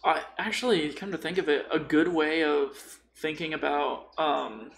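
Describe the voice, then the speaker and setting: A man's voice: fairly high pitch, moderate pace, creaky, fairly bright tone, a little hiss or hum. A man chatting casually and bluntly about tabletop role-playing, with strong opinions, swearing and slang. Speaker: podcast guest.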